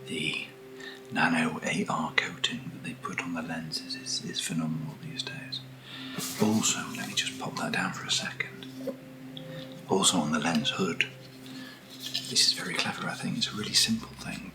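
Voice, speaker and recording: British guy, deep voice, soft breathy asmr whispering, chatty nerdy product first look, music in the background. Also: low-quality recording. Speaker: ASMR host.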